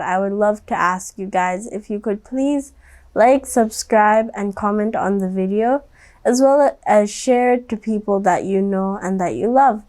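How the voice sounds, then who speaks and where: A woman hosting a children's read-aloud, speaking directly to young listeners in a gently instructive way. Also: mid-range voice. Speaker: storybook reader and host.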